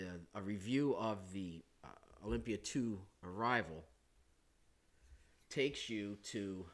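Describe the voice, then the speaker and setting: A man, hoarse from a chest cold, hosting a screen-recorded flight simulator how-to, talking it through casually with self-corrections and filler sounds. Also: lowish voice. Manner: relaxed, thinking aloud with frequent uh and self-corrections. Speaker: flight sim hobbyist host.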